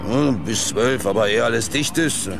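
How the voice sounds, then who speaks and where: An actor playing a flippant, slightly defensive fairground ride owner, talking colloquially in a German radio crime play. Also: male voice, mid-range voice, normal speed, creaky, natural voice. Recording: noisy outdoor fairground sound scene.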